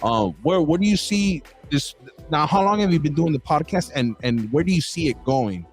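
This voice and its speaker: A man's voice: a little high, expressive, normal speed, faint music behind. A man hosting a casual podcast interview, rambling with many restarts and calling his guest bro. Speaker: podcast host.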